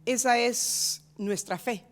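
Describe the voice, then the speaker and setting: A woman pastor preaching a sermon in a rhythmic, repetitive style, moving between loud stressed lines and quiet asides. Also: fairly high pitch, takes it slowly. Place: church in San Diego.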